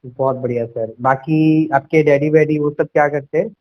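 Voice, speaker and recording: A man's voice: fairly high pitch, steady tone, moderate pace. A young man talking as a sales coach on a phone call, persuasive and conversational, repeating himself and mixing in English words. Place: at home. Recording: dull lo-fi call recording.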